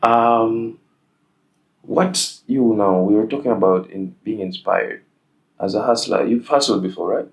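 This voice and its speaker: Man, young adult, very deep voice, casual interview host, hesitant, filler-heavy. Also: measured pace, rough recording.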